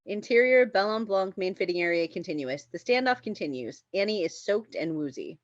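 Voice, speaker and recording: A woman's voice: mid-range voice, animated, brisk, dry recording. Dry, dull-sounding woman reading stage directions. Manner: giggly, often breaking into laughter. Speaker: stage directions reader at a script table read. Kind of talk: scripted narration, reading screenplay aloud.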